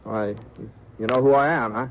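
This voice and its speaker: Man acting a good-natured American drifter cowboy, medium pitch, folksy and halting, repeats himself, vintage radio western, noisy recording. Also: lightly acted. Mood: surprised. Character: easygoing, good-natured drifting cowboy gunfighter narrating his tale. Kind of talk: 1950s radio western acting and first-person narration.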